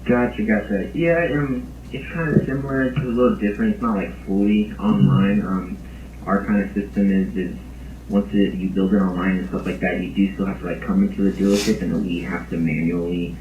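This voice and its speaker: Male dealership salesperson, lowish pitch, hesitant casual explainer, heard over an echoey noisy phone recording. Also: steady tone, brisk, phone-quality sound. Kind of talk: casual customer phone call.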